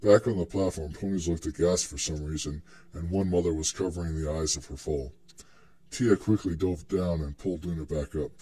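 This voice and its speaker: A man's voice: low voice, fairly even intonation, moderate pace, mellow, slightly rough. A man reading scripted story narration as the narrator of a dramatic reading.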